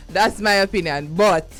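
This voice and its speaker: A Jamaican woman co-hosting a morning radio show, chatty and quick to laugh as she reads and discusses a proverb. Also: mid-range voice, a bit bright, faint music behind, rough recording. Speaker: radio co-host. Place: radio studio.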